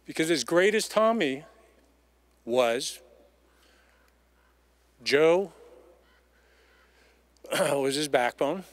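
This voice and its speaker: Man, middle-aged, slightly high voice, emphatic eulogy, repeated phrases, dry outdoor stand mic. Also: expressive, very slow, long pauses, no echo. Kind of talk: heartfelt memorial tribute. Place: outdoors in an empty baseball stadium. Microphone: microphone on a stand.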